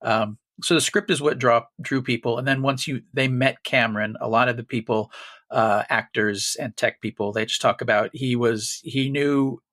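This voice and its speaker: A man's voice: medium pitch, normal speed, dry recording. Podcast guy rambling about movies, lots of restarts, dry close mic. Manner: rambling, restarts, animated bursts of laughter. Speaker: podcast co-host. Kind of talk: casual film discussion.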